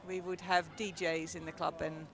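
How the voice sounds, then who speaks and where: A woman's voice: deep voice, flat delivery, normal speed, a crowd in the background. A young Dutch woman with a Dutch accent, chatting casually as a guest commentator at a hockey game, with lots of ums and laughs. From Netherlands. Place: outdoors at a field.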